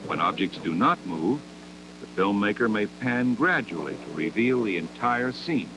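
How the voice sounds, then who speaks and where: Low-voiced man on humming old film soundtrack. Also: animated, background noise. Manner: measured, didactic, deliberate phrasing. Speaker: narrator of an educational film. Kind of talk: scripted instructional documentary narration, 1960s.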